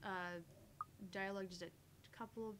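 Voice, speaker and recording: A woman chats casually as co-host of a game stream. Her voice sits at an average pitch, over faint noise with a low rumble from a home streaming setup. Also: steady tone, moderate pace. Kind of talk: casual chat during a visual novel stream.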